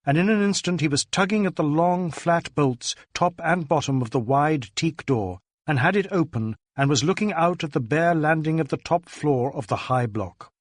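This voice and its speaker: A man narrates a detective story in a dramatic, scripted reading style. His voice sits a little higher than most men's. The sound is very dry, with no room around it. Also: moderate pace, hardly any pauses, no room sound. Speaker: audiobook narrator.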